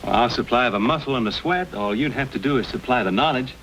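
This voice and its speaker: A man's voice: medium pitch, lively intonation, quick pace, fairly bright tone. An actor playing a bold, courteous young frontiersman with folksy phrasing in an old-time radio Western. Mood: earnest, persuasive. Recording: noisy old radio drama recording.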